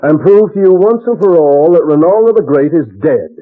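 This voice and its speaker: Man playing a high-pitched, emphatic radio judge. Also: lively intonation, fairly quick, narrow, tinny audio, natural voice. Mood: skeptical. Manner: brusque, emphatic, declamatory. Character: skeptical, authoritative judge, easily flustered by fear. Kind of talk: old-time radio drama acting. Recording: echoey thin old radio recording, music.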